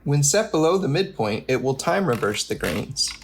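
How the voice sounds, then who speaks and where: A man's voice: medium pitch, sing-song, moderate pace. A man narrating a scripted technical tutorial in a methodical, explanatory way, as a product demonstrator.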